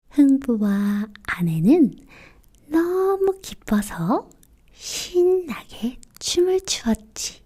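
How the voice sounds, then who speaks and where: A woman tells a bedtime fairy tale in a soft, breathy voice that often sinks close to a whisper. Her pace is slow and her tone is mellow and fairly high. The phrasing is gentle and expressive, as if for small children. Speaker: children's storyteller. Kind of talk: quiet bedtime fairy-tale narration in casual storytelling speech.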